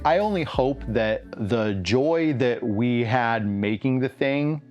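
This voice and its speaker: A man's voice: mid-range voice, slow pace, a little mellow, muffled recording. A man chatting casually in an interview. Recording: music playing behind.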